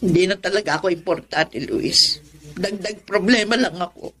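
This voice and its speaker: A woman's voice: fairly low pitch, normal speed, fairly bright tone. An actress with a Filipino accent playing an aggrieved, stubborn older woman in a radio drama, plaintive and sulking. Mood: hurt, self-pitying. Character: aggrieved, self-pitying, stubborn elderly woman who feels unwanted.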